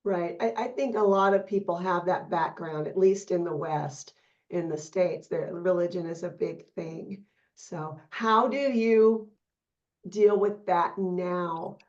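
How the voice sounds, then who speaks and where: A woman's voice: fairly low pitch, takes it slowly, a little mellow. A woman hosting an interview who affirms her guest and asks reflective questions. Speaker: podcast host. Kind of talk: casual interview conversation. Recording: dull, lo-fi audio.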